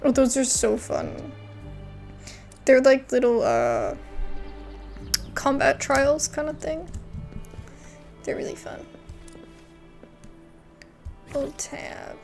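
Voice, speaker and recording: Animated, high-voiced young woman commentating on a game. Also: slow, gravelly, long pauses, soft background music. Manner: informal, slangy, excitable. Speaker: gameplay commentator. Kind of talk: casual gameplay commentary, reacting aloud.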